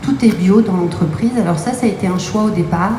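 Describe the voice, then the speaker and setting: A woman's voice: mid-range voice, a little fast. A woman from Brittany, a company co-owner, answering interview questions with many hesitations. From Brittany, France. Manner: explanatory, many hesitation fillers. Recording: echoey room with faint noise.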